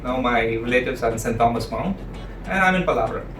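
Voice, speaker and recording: Man, 30s, from India, relaxed vlog co-host, middle pitch, faint music behind. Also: fast talker. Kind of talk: casual chat. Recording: roomy, from a distance, soft music.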